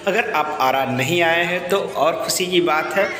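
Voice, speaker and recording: A man's voice: fairly high pitch, unhurried. A man, speaking casually to viewers as a vlogger on a phone held at arm's length outdoors. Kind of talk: casual festival vlog, addressing viewers. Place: crowded outdoor festival ground at night. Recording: echoey, reverberant phone recording outdoors.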